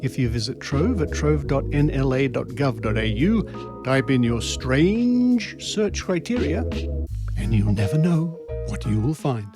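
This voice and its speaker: Male podcast host, middle-aged, Australian accent, mid-pitched voice, chatty and informal delivery. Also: sing-song, a little slow, music in the background. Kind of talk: casual podcast presenting.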